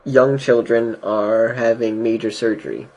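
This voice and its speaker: A man's voice: mid-range voice, steady tone, measured pace, a little mellow, muffled recording. A young man chatting casually and playfully, often laughing. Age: young adult. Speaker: co-host. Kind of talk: casual chat.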